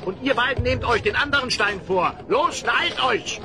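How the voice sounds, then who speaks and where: A man's voice: very high-pitched, animated, normal speed, background noise. A male actor playing a harsh, domineering slave overseer who barks orders in a fantasy radio play. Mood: bullying. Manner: barking, domineering. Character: harsh bullying male chief overseer of prisoners.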